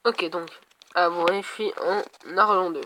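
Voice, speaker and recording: A man's voice: high voice, steady tone, normal speed, pushed and bright, a little hiss or hum, poor audio. Man with a head cold, thinking aloud. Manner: thinking aloud, hesitant, talks to viewers. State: stuffed up with a cold. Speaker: gaming video creator. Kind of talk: casual gameplay commentary.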